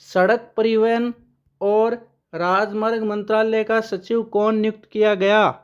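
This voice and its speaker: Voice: mid-range voice, level tone, measured pace, smooth, lo-fi sound. A current affairs teacher giving an exam-prep lesson with memory tricks, who asks questions aloud, answers them and repeats the key names.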